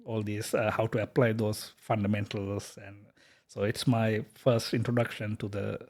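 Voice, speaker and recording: A man, a software company founder talking casually in an interview, hesitant with many fillers and restarts. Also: on the low side, little pitch movement, normal speed. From Sri Lanka. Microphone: podcast microphone.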